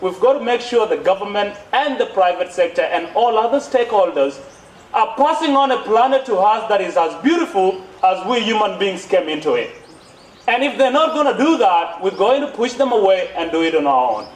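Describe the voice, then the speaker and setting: A man's voice: high voice, expressive, fairly quick. A young man with an East African accent making an emphatic public speech, repeating phrases and posing rhetorical questions. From born in South Sudan, grew up in Kenya. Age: young adult. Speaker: youth speaker at a conference plenary. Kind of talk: public speech, impassioned advocacy. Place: outdoor stage under trees at a podium. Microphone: thin gooseneck podium microphone. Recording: echoey, distant podium mic with background noise.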